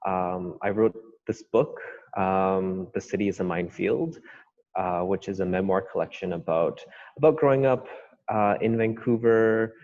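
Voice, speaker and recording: A man with a notably deep voice talks through his upbringing in a halting, reflective way, often stopping to search for words. The sound is dull and carries a long reverb. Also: darkish tone. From Canada. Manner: hesitant, thoughtful, many fillers. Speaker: author guest. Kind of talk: podcast interview.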